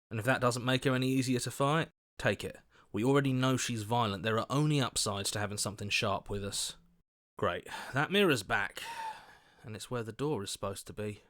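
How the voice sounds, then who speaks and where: A man's voice: mid-range voice, a bit bright, no room sound, clean studio sound, lightly acted. Man acting an earnest, questioning game hero. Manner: conversational, questioning, exclamatory. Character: earnest, questioning young hero's inner voice, anxious and decent. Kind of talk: video game character voice acting.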